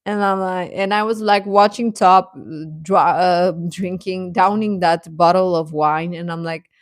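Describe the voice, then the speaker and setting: A woman hosting a casual reaction chat, talking in an animated, giggly, rambling way and often repeating phrases for emphasis. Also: fairly low pitch, measured pace, no echo, studio quality. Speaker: livestream host chatting with viewers.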